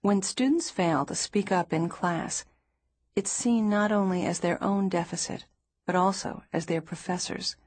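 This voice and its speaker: A woman narrating a nonfiction book in a measured, even reading style. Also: on the low side, no room sound. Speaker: audiobook narrator.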